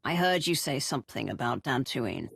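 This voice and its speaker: An actress playing an assertive Imperial commander in a video game, direct, probing and expressive. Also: female voice, a bass voice, moderate pace, creaky, husky, no room sound, clean studio sound, heavily acted. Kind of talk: dramatic video game voice acting.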